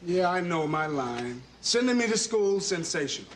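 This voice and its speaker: Skeptical grown son for sitcom, high-pitched, exasperated, recorded in a roomy stage space. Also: male voice, big pitch swings, normal speed. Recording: echoey, slightly noisy stage.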